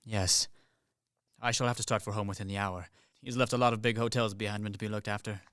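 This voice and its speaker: A man's voice: lowish voice, steady tone, quick pace, a bit bright, clear, resonant tone, dry recording, clean studio sound, close to an everyday voice. A man in a radio play acting a practical, unsentimental army captain with a businesslike manner. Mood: matter-of-fact. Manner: matter-of-fact, clipped, businesslike. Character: brisk, practical Swiss soldier, unsentimental captain.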